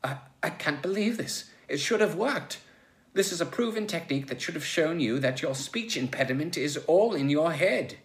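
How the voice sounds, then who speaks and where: A man's voice: on the low side, animated, moderate pace, few pauses, sharp tone. A man playing a flustered, earnest male speech therapist with a stammering, melodramatic manner in a comic story reading. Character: flustered, devoted British male speech therapist, theatrical amateur actor.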